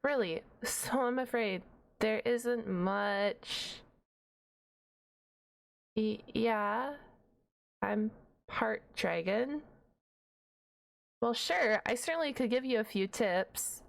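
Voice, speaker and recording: A woman acting out a nerdy young half-dragon librarian for an ASMR roleplay. Her voice is fairly high and she takes her time, stumbling and correcting herself. She comes across as shy and easily flustered, with a playful, huffy indignation. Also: drawn-out words, vocal fry, long pauses, heavily acted. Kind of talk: ASMR roleplay, one-sided dialogue to the listener.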